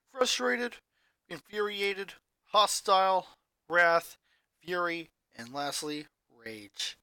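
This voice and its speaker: A man's voice: high voice, bright, forceful tone, no echo. A man dramatically reading a fanfic aloud and narrating the action. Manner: dramatic, building intensity. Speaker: fanfic reader.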